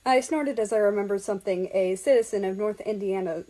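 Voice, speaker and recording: A woman's voice: medium pitch, fairly quick, dark tone. A woman reading fan fiction aloud as an amateur audiobook-style narrator, telling it in the first person.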